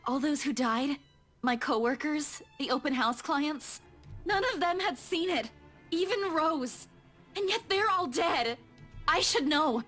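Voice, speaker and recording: A woman's voice: high voice, lively intonation, a little slow, fairly bright tone, soft background music. An actress playing an earnest, anxious young woman pleading to be believed in a game. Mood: anxious, defensive. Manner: pleading, hesitant, emotional.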